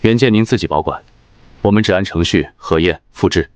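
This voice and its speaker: A man playing a principled, calm young lawyer in an animated drama, measured and plain-spoken, firm but considerate. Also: deep voice, dry recording, lightly acted. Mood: steady, earnest.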